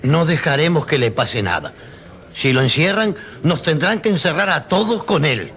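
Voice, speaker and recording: Defiant loyal peasant in a radio drama, highish voice, emphatic. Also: male voice, animated, moderate pace, a bit bright. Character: defiant, fiercely loyal male peasant striker.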